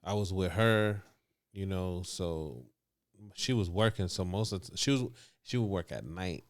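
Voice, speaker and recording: A man's voice: sits low, animated, a little slow. A young man telling candid stories from his life in a laid-back, slangy way. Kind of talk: casual storytelling.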